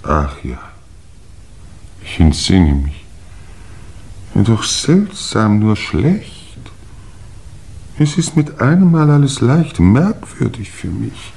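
An actor playing a weary, tipsy old English lord and uncle in a 1950s German radio play, halting and ponderous. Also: male voice, on the low side, big pitch swings, vocal fry, darkish tone, heavily acted. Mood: tipsy, dazed. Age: older.

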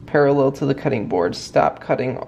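A man's voice: mid-range voice, lively intonation, a little fast, creaky, darkish tone, a little hiss or hum. A young man co-hosting a casual cooking vlog in his home kitchen, plainly explaining each step. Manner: easygoing, explaining steps plainly. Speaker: vlog co-host. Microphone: handheld camera.

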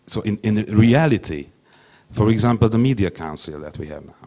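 A young man whose first language is Hungarian, speaking English haltingly on a conference panel into a handheld mic, with many restarts. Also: fairly low pitch, lively intonation, dark tone. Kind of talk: panel discussion.

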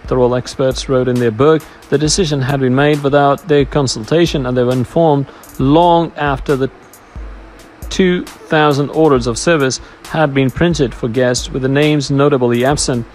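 A man reading a scripted royal news narration, stumbling now and then as he reads. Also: medium pitch. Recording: music behind the voice. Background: background music.

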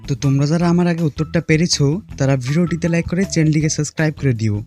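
A man's voice: a little high, fairly even intonation, very fast, a little mellow, faint music behind. A man narrating a scripted puzzle quiz as host, speaking directly to viewers.

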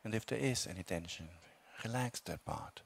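A man leading a guided meditation as a teacher, speaking calmly in short phrases with long, unhurried pauses. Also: on the low side, creaky, slightly rough. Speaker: meditation teacher, Buddhist monk.

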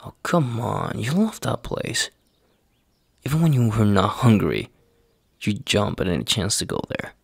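A man playing a tender, anxious young boyfriend in a roleplay ASMR audio, husky and halting in his speech. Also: lowish voice, big pitch swings, no room sound, clean studio sound, exaggerated voice. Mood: pleading. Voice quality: husky, gravelly. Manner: halting, hesitant, soft-spoken, sighing.

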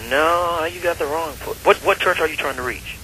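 Firm, bright-voiced man on the phone. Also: on the high side, moderate pace. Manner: firm, questioning. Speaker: church phone answerer. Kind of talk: phone conversation. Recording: slightly noisy phone call recording.

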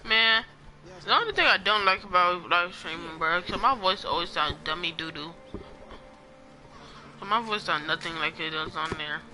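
A man's voice: sits high, normal speed, bright, forceful tone, slight background noise, rough recording. A young man giving casual, joking commentary while live-streaming a game, often repeating phrases in a sing-song way. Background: game music.

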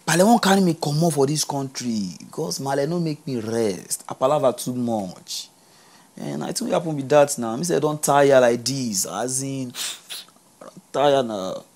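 A man plays a young Nigerian man, worn out and grumbling to his mates. He speaks quick Pidgin at a mid pitch. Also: a little mellow, lightly acted. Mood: fed up. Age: young adult. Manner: complaining to friends in Pidgin. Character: young man weary of home.

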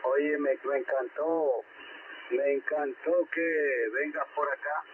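A man's voice: fairly high pitch, steady tone, takes it slowly. A man chatting casually as an amateur radio operator, repeating himself and addressing his contact directly. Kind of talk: casual ham radio chat. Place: heard over a ham radio receiver. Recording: tinny shortwave radio with light static.

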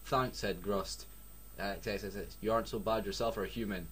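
A man's voice: lowish voice, fairly even intonation, a little fast, noisy background. Sarcastic Scottish man reading aloud. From Scotland. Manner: sarcastic, swears a lot, breaks off to joke. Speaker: reader. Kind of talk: mocking comedic reading of a story.

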